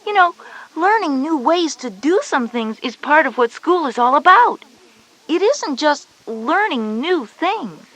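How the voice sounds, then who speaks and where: A schoolgirl big sister, with a high voice and lively swooping intonation. She explains things patiently but a little bossily, stressing her points in a scripted children's educational story. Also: measured pace, heavily acted, poor audio. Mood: reassuring, explanatory. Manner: explanatory, emphatic. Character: knowing, patient but slightly bossy older sister Jenny, schoolgirl.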